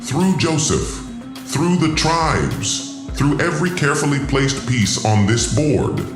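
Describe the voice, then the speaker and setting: A man's voice: on the high side, big pitch swings, slow, echoey room, music in the background, pitched above the performer's own voice. A man voicing a scheming alien overlord who poses as a god, commanding and contemptuous, in a science fiction audio drama. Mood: contemptuous, zealous.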